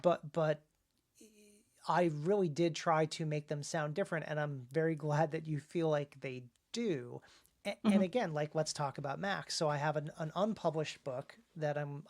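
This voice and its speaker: A man's voice: on the high side, fairly even intonation, moderate pace. A man co-hosting a podcast and talking casually about his writing, often repeating words as he goes. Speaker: podcast co-host and author.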